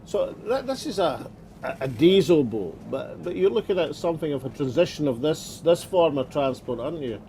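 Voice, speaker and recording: Male host, a touch higher pitched, chatty interviewer on a boat. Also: expressive, normal speed, mellow, a little hiss or hum. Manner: leading questions, restarts, tag questions. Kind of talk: conversational interview. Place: on a small boat offshore.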